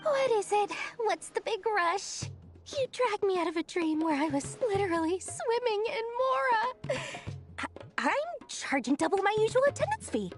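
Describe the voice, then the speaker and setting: An actress playing a greedy, self-important traveling merchant in a video game, with pompous sales patter and dramatic delivery. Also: female voice, very high voice, sing-song, normal speed, music in the background. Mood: indignant, whiny. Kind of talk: animated video game cutscene voice acting.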